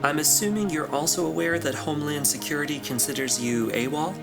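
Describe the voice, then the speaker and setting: A man's voice: on the high side, brisk, creaky, over music. A man acting an easygoing corporate interviewer in a sci-fi audio drama, informal, tactful and reassuring. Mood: serious, probing. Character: smooth, easygoing corporate interviewer at aerospace company.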